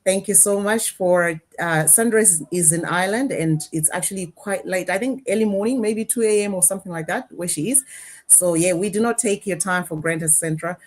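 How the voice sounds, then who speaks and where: A woman with a slightly low voice hosts a live online conversation. She speaks in a casual, conversational way, addressing her guests and guiding the discussion between them. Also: moderate pace. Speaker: host of a live panel discussion.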